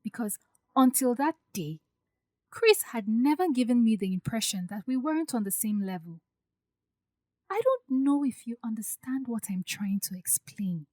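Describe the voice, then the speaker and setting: A woman's voice: a little high, animated, moderate pace, a little mellow, no room sound, lightly acted. A woman playing a guarded, insecure young woman who confides earnestly, in an audio drama. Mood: vulnerable, confiding.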